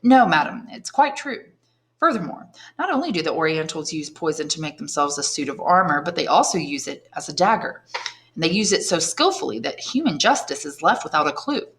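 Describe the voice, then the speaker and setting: Woman reading as a courteous worldly count, measured lecturing manner, lowish voice, storybook reading. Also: animated, fairly bright tone. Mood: smooth, knowing. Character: suave worldly nobleman, the Count, calm and knowing.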